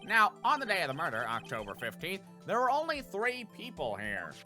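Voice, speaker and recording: Man voicing a blustery detective, lively and forceful. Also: sits high, brisk, soft background music, pitched above the performer's own voice, exaggerated voice. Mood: matter-of-fact briefing. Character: gruff, folksy male police detective giving a case briefing. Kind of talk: video game character dub.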